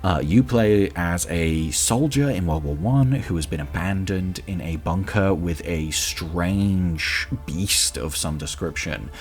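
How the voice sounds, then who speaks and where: Low-voiced enthusiastic man hosting. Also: animated, slow, faint music behind. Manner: enthusiastic, emphatic. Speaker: podcast host. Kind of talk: conversational countdown review of favourite games.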